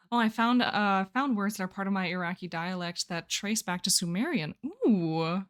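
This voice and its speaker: A woman's voice: medium pitch, moderate pace, clear, resonant tone. A young woman streaming live, chatting casually with her viewers, rambling and laughing often as she answers chat. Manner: giggly, rambling, excitable.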